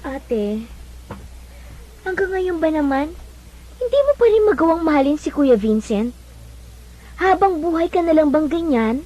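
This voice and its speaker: A woman's voice: high voice, animated, unhurried, pauses often, mellow, lo-fi sound. A woman playing an earnest, caring younger sister in a Filipino radio drama, pleading and encouraging. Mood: reproachful.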